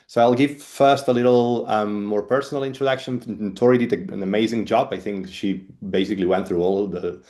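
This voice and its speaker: A man's voice: lowish voice, fairly even intonation, brisk, hardly any pauses, a little mellow. Catalan-accented man giving a webinar talk. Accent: Spanish. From Spain, Catalonia. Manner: hesitant, with frequent ums and restarts. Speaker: guest speaker, evolutionary biologist. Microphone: video call.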